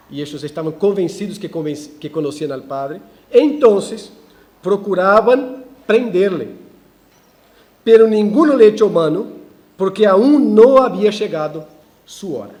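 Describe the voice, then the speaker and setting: Man, pastor, raised pitch with a soft, mellow timbre; lively church preaching with restarts and irony. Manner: animated preaching with frequent restarts and ironic asides. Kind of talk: expository sermon.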